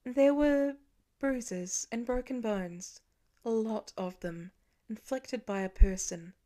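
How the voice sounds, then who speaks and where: A woman's voice: a little high, takes it slowly, warm tone. A woman voicing a caring mother who explains things carefully and with measure in an audiobook. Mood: grave, careful. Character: caring, careful mother softening hard news. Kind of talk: acted audiobook dialogue.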